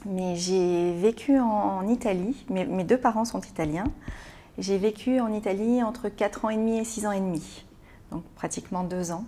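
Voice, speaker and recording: A woman born in France, an interview guest answering in a thoughtful, halting way with frequent pauses and repeated words. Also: medium pitch, dark tone, slight background noise. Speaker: interview guest, business owner. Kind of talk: hesitant conversational interview answers.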